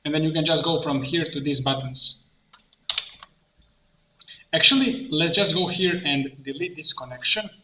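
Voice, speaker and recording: A man presenting an improvised software tutorial, thinking aloud haltingly as he works at a computer. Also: fairly high pitch. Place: indoor room at a computer. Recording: dull, echoey room, clear background noise. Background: keyboard typing and mouse clicks.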